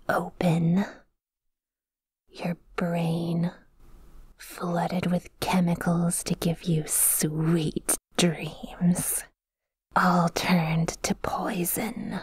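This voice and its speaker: A possessive female demon, acted by a woman whispers in a very breathy voice, close and dry. She draws her words out very slowly, taunting and intimate, in a menacing ASMR roleplay style. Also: lowish voice, no room sound, exaggerated voice. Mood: sinister, hushed. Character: possessive, menacing, teasing female yandere sleep paralysis demon.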